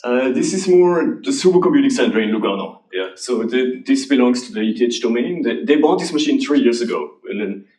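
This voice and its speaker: A man from Switzerland, answering audience questions about AI in a halting, restarting way. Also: mid-range voice, expressive, darkish tone. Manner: halting, restarts often, laughs lightly. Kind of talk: conference Q&A. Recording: roomy hall, voice from a distance.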